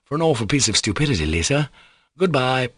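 A man voicing an earnest, gentle young man full of sorrow and self-blame in an audiobook story. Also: mid-range voice, sing-song, fairly quick, breathy edge, no echo, studio quality, voice pushed up. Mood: sorrowful.

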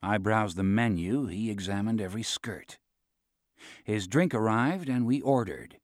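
A man narrating a first-person novel in a wry, measured storytelling manner. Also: lowish voice, no echo, clean studio sound. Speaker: audiobook narrator.

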